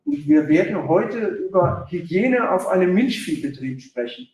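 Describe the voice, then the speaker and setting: A high, lively male voice delivers a talk in short, friendly chunks and stops often for translation. He sounds far from the mic, and the hall behind him is very echoey. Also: unhurried. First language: German. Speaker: guest speaker, sales director. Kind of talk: lecture in German, paused for interpreter.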